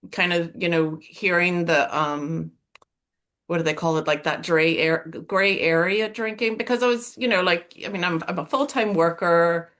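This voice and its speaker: A middle-aged woman with a somewhat low voice and an American-sounding accent talks openly on a video call. She speaks haltingly, stopping and restarting often and leaning on fillers. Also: a bit bright. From Northern Ireland. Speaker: coaching client. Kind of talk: candid interview.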